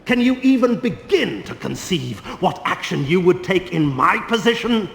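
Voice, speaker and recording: An actor playing a proud, world-weary ancient vampire lord in a video game, speaking in a grandiose, scornful, deliberate way. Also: male voice, high voice, sing-song, creaky, fairly bright tone, heavily acted. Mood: indignant, weighty. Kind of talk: theatrical video game cutscene acting. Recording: echoey hall reverb, faint music.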